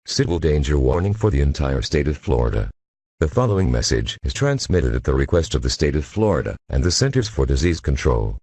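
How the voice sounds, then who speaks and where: A man's voice: a bass voice, big pitch swings, brisk, darkish tone, husky. A man reading a scripted emergency broadcast warning as a formal, impersonal announcer.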